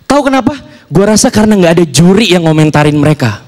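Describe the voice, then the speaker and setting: High-voiced young man doing stand-up. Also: fast talker. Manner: animated, acts out voices and dialogue. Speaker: stand-up comedian. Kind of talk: stand-up comedy set. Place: large TV studio stage. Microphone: handheld mic.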